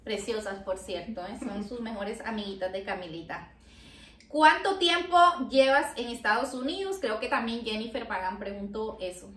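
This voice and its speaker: A woman's voice: a little high, animated, normal speed, fairly bright tone. A woman with a jokey manner, chatting casually as a guest interviewer on a home vlog. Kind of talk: casual playful chat. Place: home kitchen and living room. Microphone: camera a few meters away. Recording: echoey, distant, faint noise.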